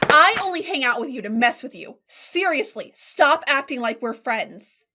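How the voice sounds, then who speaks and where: A woman's voice: high-pitched, animated, normal speed, noisy background. A woman playing an angry, scornful teenage schoolgirl in a fan audio drama, snapping with irritated contempt. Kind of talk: fan audio drama, anime game adaptation.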